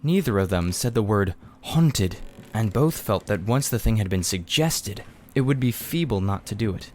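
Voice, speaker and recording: A man's voice: fairly low pitch, lively intonation, normal speed, a little hiss or hum. A man reading aloud a children's story as scripted audiobook narration. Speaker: audiobook reader.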